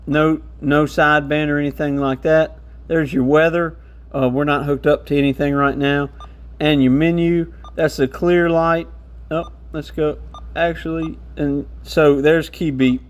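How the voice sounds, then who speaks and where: A man with a somewhat higher-pitched voice presents a product walkthrough. He explains things informally and practically, in the manner of a hands-on shop reviewer speaking off the cuff. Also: a little mellow.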